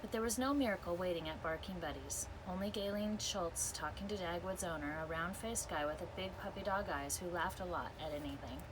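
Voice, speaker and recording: A woman's voice: on the low side, brisk, few pauses. A woman reading a children's story aloud expressively, as a teacher does for students. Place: inside an RV parked in a backyard. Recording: clear ambient noise.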